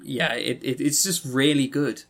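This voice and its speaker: A man's voice: mid-range voice, steady tone, a little slow, a bit bright. A man with a mid-range voice co-hosts a casual review podcast. He talks in a rambling, opinionated way and swears freely as he chats. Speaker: podcast co-host. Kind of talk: casual chat, game review.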